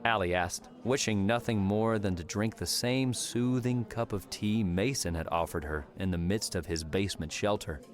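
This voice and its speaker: A man narrating a novel as an audiobook, in a measured storytelling manner. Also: lowish voice. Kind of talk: scripted fiction narration.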